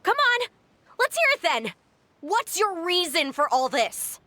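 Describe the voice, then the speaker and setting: A woman's voice: very high-pitched, sing-song, unhurried, sharp tone, no room sound, heavily acted. A woman voicing a defiant young woman accused of betrayal in a game. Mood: angry, defiant. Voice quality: defiant, bitter. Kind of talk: game voice acting.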